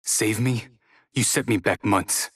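A guarded young hacker from a video game. His voice is husky and on the low side, curt, bitter and accusing. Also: slow, fairly bright tone, full character voice. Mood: resentful. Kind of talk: video game character lines.

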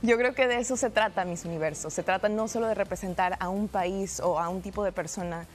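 A woman's voice: medium pitch, brisk, soft background music. A young woman from the United States, a pageant winner, talking earnestly and upbeat in a television studio interview. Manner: earnest, upbeat, some restarts and fillers. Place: TV studio set.

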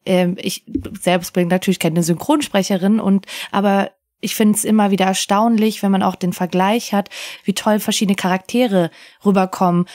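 A woman chats casually as a podcast co-host. Her voice sits at a middling pitch and is recorded very dry, with hardly any room sound. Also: steady tone, moderate pace, darkish tone, dry recording.

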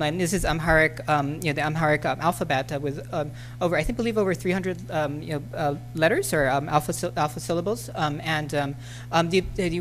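Low-voiced woman, halting panel talk. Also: a little hiss or hum. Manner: hesitant, many ums and restarts. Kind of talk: conference talk with slides. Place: lecture hall. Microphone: podium microphone.

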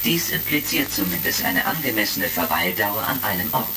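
An actor playing a dryly formal, logical combat robot in a retro sci-fi audio drama, speaking in stilted, literal phrases. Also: male voice, on the low side, sing-song, fairly quick. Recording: vintage crackle, music, distant sound.

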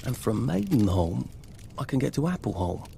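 Anguished British man, creaky voice. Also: mid-range voice, sing-song, normal speed, warm tone, a little hiss or hum. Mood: resolved. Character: anguished, accusing British man, earnest and haunted. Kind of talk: dramatic video game acting.

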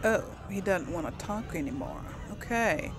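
A woman's voice: fairly low pitch, expressive, moderate pace. A woman hosting a casual game playthrough, chatty and hesitant as she thinks aloud. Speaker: gaming video host. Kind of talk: casual let's play commentary.

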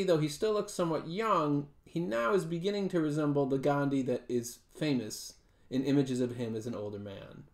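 A man in his forties reads nonfiction aloud in a fairly high voice. His phrasing is measured and deliberate, in the style of an author presenting his own book. He is recorded at home, at arm's length from the microphone. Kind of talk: read-aloud nonfiction narration. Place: small furnished room at home, indoors.

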